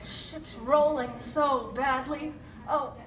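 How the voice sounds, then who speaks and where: A woman's voice: high-pitched, fairly even intonation, slow pace. A woman playing an anxious, guilt-haunted sister in a live radio drama, fretful and hesitant, often repeating herself. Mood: fearful. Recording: echoey lobby from far off, crowd noise.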